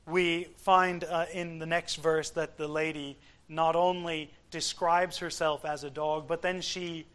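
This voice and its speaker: Slow, deliberate male preacher, higher-pitched voice. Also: level tone, fairly bright tone, clear, resonant tone. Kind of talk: expository sermon with scripture quotation.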